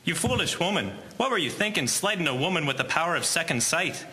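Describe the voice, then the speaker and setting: A man's voice: medium pitch, animated, brisk, pushed and bright. A man playing a scolding, superstitious farmer and husband in a historical drama.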